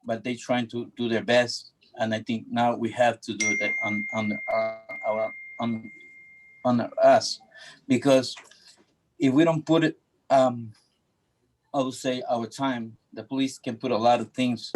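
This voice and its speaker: A man's voice: medium pitch, steady tone, unhurried, rough recording. A middle-aged man from Ecuador, a native Spanish speaker with an Ecuadorian accent, making informal personal remarks at a remote public meeting.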